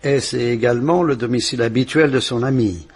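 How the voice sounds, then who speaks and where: An actor playing a courteous police inspector who questions a witness in a measured, reassuring way, in a vintage French radio drama. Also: male voice, medium pitch, breathy edge, natural voice. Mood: probing. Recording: old radio play recording.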